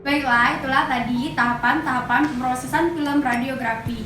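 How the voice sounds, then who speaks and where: A woman's voice: high voice, steady tone, normal speed, pushed and bright. A young woman from Indonesia gives a formal, recited student presentation, narrating a scripted lesson. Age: young adult. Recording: echoey room, distant mic, music behind. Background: music.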